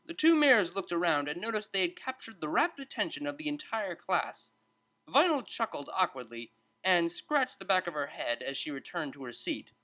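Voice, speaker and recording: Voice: mid-range voice, sharp tone. A narrator reading a scripted story as an audiobook.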